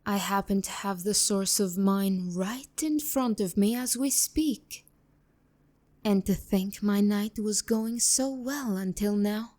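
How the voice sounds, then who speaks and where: A woman's voice: medium pitch, slow pace, a little mellow, close to an everyday voice. A woman playing a haughty, seductive demon courtesan in a romantic ASMR roleplay, speaking to the listener with condescending, teasing bite. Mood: sardonic, annoyed. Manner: condescending, teasing, biting insults.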